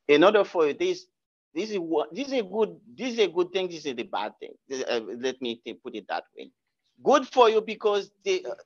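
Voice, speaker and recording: A man giving a guest talk, answering audience questions in a lecture room, halting with many restarts and repeated words. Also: on the high side, a bit bright, highs cut off. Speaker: guest speaker.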